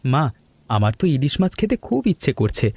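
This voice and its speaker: A man's voice: mid-range voice, big pitch swings, brisk, gravelly, darkish tone, dry recording. A man playing an affectionate, considerate young married son in a cartoon family story. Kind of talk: animated family story dialogue.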